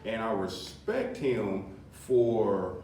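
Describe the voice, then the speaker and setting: A man's voice: lowish voice, lively intonation, extremely slow, mellow. Man talking from far off in an echoey room. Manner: loose and chuckling, with many fillers and restarts. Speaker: podcast host. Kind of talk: casual political chat. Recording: very echoey room, mic far off, faint noise.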